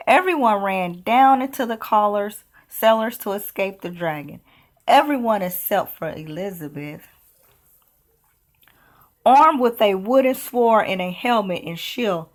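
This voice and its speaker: A woman reading a children's picture book aloud as storyteller, with expressive, deliberate delivery. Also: medium pitch.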